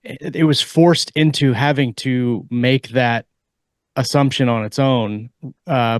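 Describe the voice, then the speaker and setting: A man co-hosting a podcast in casual discussion, building his points haltingly in short pieces. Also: medium pitch, no echo, clean studio sound. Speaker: podcast co-host.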